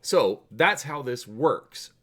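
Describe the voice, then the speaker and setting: A man's voice: medium pitch, animated, takes it slowly, fairly bright tone. A man hosting a story channel and reading an adventure aloud in a dramatic storytelling style. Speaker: host and narrator of a story channel.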